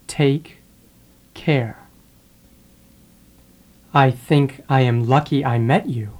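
This voice leads an English lesson at a very slow pace, spacing every word apart so learners can follow. Its pitch sits in the middle range, and its delivery is careful and deliberate. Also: a little mellow. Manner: deliberate, pausing after each word. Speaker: English teacher. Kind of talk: slow drill of phrases, repeating word by word.

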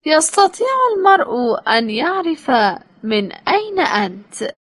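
A woman reading short phrasebook sentences slowly and clearly for language learners. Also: high voice, very animated, no room sound. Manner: slow, clearly spaced phrases. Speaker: phrasebook reader.

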